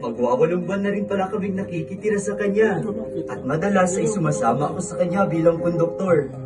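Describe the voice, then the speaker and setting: A man's voice: high voice, a little fast, recorded from a distance, over loud music, lightly acted. A young man playing a polite, humble orphan who also narrates, in a student radio drama. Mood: reflective. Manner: earnest, respectful, mixes Spanish-based words. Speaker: narrator and lead character.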